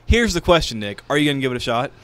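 A man of medium pitch talks casually on a podcast, close to a studio microphone. He speaks haltingly and often searches for the right word. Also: very animated, no room sound. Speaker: podcast co-host. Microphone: podcast microphone in a small studio.

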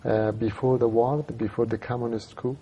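A man in his fifties from Afghanistan with an Afghan accent and Dari as his first language, speaking English haltingly with fillers in a studio TV interview. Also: medium pitch, little pitch movement, highs cut off, a little hiss or hum. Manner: halting, many fillers and restarts. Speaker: civil society director, interview guest. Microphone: lapel.

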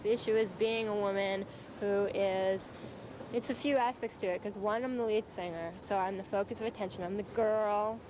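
A young woman lead singer talks in a steady, matter-of-fact way, her pitch staying flat. She is recorded on a hissy old VHS tape outdoors on a night street. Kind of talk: documentary interview.

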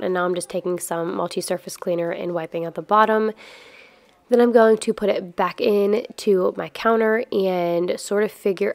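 Chatty woman doing casual vlog voiceover, lowish voice, dry recording. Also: gravelly, dry recording. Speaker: vlogger. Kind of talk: casual scripted-feel vlog voice-over.

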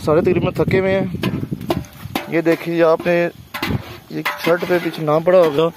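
Man, gravelly, flat delivery, outdoor vlog narration, noisy phone recording. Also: a little high, moderate pace. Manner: chatty, showing the camera around. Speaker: fishing vlogger. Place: outdoors at a lakeside fishing camp at night. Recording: outdoor phone recording with clear background noise.

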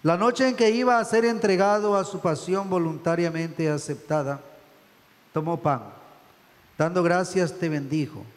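A middle-aged man speaks as a priest at the altar, reciting prayers solemnly. His voice sits fairly high and carries a long church reverb. The phrasing is measured and reverent. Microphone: stand microphone at the altar.

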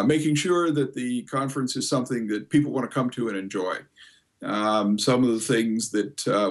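An American man in his sixties answering as an interview guest over a video call, informally explaining things in a measured, hesitant way. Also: mid-range voice. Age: 60s. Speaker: guest, convention co-chair. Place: indoor home office. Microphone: computer video call.

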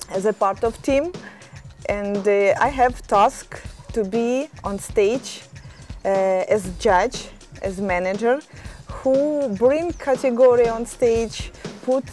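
A woman in her thirties from Estonia, speaking careful, halting as an interview guest outdoors on a terrace. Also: fairly high pitch, darkish tone. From Estonia, born in Ukraine. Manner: halting, careful non-native English with many pauses. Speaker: interview guest, fitness champion. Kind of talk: casual interview answers. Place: outdoor terrace, hillside view.

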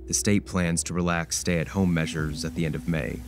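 A man reading a scripted news voice-over in a measured, even delivery. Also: sits low, music in the background. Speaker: news reporter.

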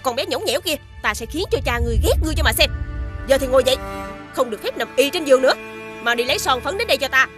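A woman's voice: high-pitched, expressive, very fast. A woman voicing a scheming witch posing as the queen's sister. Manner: haughty, commanding to servants, sweet to the king. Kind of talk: dubbed cartoon acting. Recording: cartoon soundtrack with music behind.

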